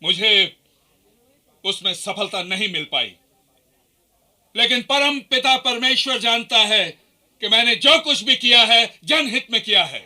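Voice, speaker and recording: A radio-drama revolutionary, a man addressing his countrymen with declamatory passion. His voice is high-pitched and very sharp, forceful throughout. He is earnest and sorrowful, yet defiant. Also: expressive, unhurried, pauses often, full character voice. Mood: earnest, impassioned. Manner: impassioned, declamatory oratory.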